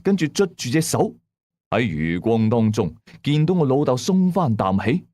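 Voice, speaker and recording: 一個香港粵語口音嘅男人，用第一身、口語化又戲劇性嘅方式講廣播鬼古。. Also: male voice, mid-range voice, sing-song, moderate pace, mellow, no echo. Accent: Hong Kong Cantonese. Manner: dramatic, colloquial. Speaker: radio drama narrator. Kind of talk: first-person ghost story narration.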